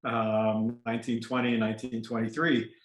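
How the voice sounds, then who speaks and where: A man's voice: medium pitch, moderate pace, reverberant. An older man, raised in the United States with Ukrainian as his first language, talking casually as an interview guest over a video call, rambling with many fillers. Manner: relaxed, rambling with frequent fillers. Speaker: guest, doctor and lawyer.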